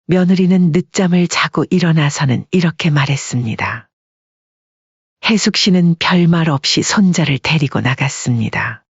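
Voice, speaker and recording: Husky narrator with a mid-range voice. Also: mid-range voice, dry recording, dull audio. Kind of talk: scripted story narration.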